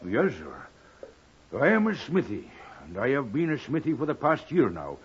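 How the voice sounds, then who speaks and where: A husky village smith in an old radio play, humble and eager to please. He rambles obligingly with a very lively pitch, through a dull vintage recording. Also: a little slow, heavily acted. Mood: eager, rambling. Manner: deferential, hesitant, obliging. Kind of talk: 1950s radio drama acting.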